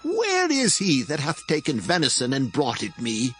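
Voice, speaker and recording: A man's voice: mid-range voice, wildly swooping pitch, normal speed, fairly bright tone, soft background music. A man playing a frail old blind father in a dramatized Bible drama, solemn and tender in archaic scripture dialogue. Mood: shaken, grieved.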